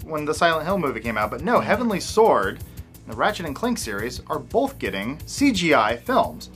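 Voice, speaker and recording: A young man hosting a casual video game news chat in an animated, joking manner. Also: on the high side, normal speed, faint music behind. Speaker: host.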